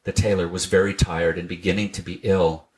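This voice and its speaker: A man's voice: sits low, little pitch movement, brisk, a little mellow. A man reading a children's tale aloud as scripted storybook narration. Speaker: storybook reader.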